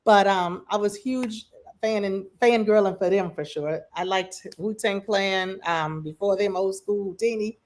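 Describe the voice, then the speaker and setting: A woman in her forties with a Midwestern American accent, co-hosting a livestream chat in an animated, storytelling way, full of asides and fillers. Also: mid-range voice, unhurried. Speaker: livestream co-host. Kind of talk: casual chat.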